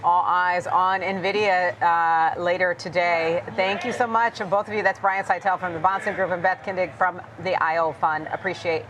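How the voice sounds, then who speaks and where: A woman anchoring a financial news segment, brisk as she steers the questions. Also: fairly low pitch, steady tone, noisy background.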